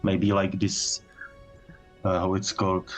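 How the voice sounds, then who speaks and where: A man answering technical questions as a game developer on a casual livestream, speaking halting English with non-native phrasing. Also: low voice, monotone, soft background music.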